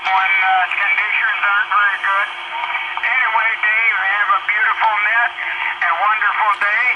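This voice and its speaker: A man's voice: sits high, flat delivery, moderate pace, bright, forceful tone. A man chatting casually as an amateur operator, heard over shortwave radio. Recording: very noisy shortwave radio reception.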